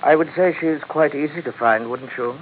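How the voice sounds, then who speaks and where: An actor playing a sly, courteous Chinese merchant crime boss in an old-time radio drama, speaking formally with polite menace. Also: male voice, on the high side, natural voice. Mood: smooth, businesslike. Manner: formal, elaborately polite, unhurried. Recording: noisy, tinny vintage radio recording.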